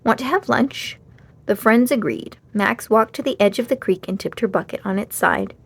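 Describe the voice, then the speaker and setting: A woman narrating a children's storybook aloud in an expressive read-aloud style. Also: mid-range voice, moderate pace, creaky, slightly rough.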